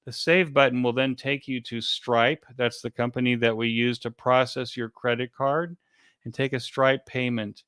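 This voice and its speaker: A man being interviewed as an event organizer, giving an informal step-by-step explanation in a halting, repetitive way. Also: mid-range voice, steady tone, a bit bright, clean tone, no room sound, studio quality.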